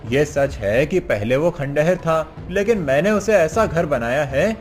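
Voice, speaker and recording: A man's voice: a little high, expressive, unhurried. A man playing a poor, determined village father in a cartoon story, speaking in an earnest, declaiming way. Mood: earnest, reassuring. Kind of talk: cartoon story character acting. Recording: music behind the voice.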